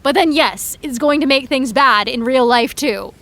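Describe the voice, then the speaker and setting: A woman voicing an anxious, guilt-ridden teenage girl in an audio drama, talking in a lively, emotional way. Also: sits high. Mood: fretful. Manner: lively, emotional, quick to protest.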